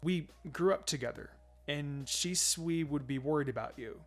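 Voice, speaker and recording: A narrator voicing a reserved, caring young male ninja in a quiet, restrained manner. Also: mid-range voice, normal speed, natural voice. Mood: concerned.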